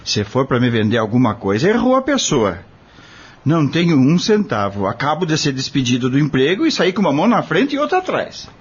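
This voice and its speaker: A humble male farmhand in an audio drama, acted by a man, wary and indignant. His husky voice moves up and down with feeling in plain rustic speech, on a dull recording. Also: on the high side, sing-song, brisk. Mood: wary, bitter.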